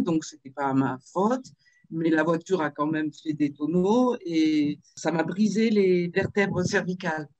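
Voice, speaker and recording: A woman in her fifties from Marseille, telling her life story in a video-call interview, with frequent pauses and fillers. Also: fairly low pitch, a little mellow, no room sound, highs cut off. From Marseille, France. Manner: hesitant, with many fillers and restarts. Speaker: author and interview guest.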